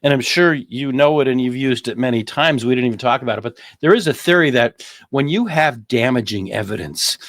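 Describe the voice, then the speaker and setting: A man's voice: medium pitch, fairly bright tone, no echo, clean studio sound. A man hosting a legal commentary show, talking conversationally, often repeating phrases and posing rhetorical questions.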